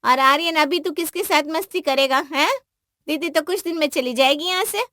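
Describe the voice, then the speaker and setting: A woman's voice: high voice, moderate pace, pushed and bright, dry recording, studio quality, voice pushed up. A woman voicing a caring but bossy middle-aged mother with an emphatic manner in a family drama. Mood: scolding. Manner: scolding, emphatic.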